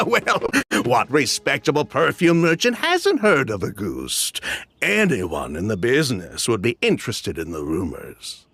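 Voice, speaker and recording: A man's voice: medium pitch, huge pitch swings, a bit bright, slightly rough, heavily acted. An actor playing a jovial, sly perfume merchant in a game, who chuckles and stretches his words. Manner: chuckling, drawn-out, theatrical. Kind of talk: game voice acting. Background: game background music.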